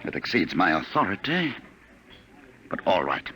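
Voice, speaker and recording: An actor playing a smug, menacing government agent from the future, coolly patient and mocking, in a 1950s radio drama. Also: male voice, deep voice, big pitch swings, moderate pace, pushed and bright, exaggerated voice. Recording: noisy 1950s radio recording.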